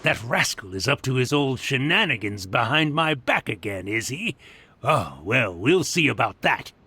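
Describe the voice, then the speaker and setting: Stuffy old-school uncle, bright tone, swingy delivery. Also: male voice, medium pitch, big pitch swings, moderate pace, breathy edge, heavily acted. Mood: disapproving. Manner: pompous, disapproving. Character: stuffy old-fashioned older townsman.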